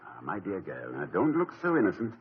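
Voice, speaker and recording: An actor playing a polished, gently ironic middle-aged college president in a 1950 radio drama. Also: male voice, deep voice, wildly swooping pitch, a little fast, a little hiss or hum. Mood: amused. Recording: dull lo-fi 1950 radio broadcast.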